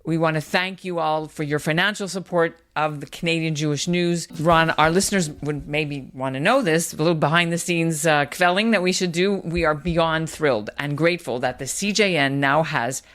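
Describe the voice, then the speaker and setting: A man's voice: fairly high pitch, normal speed, hardly any pauses, fairly bright tone. A man co-hosting a casual news podcast, leading the conversation through the segments. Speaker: podcast co-host.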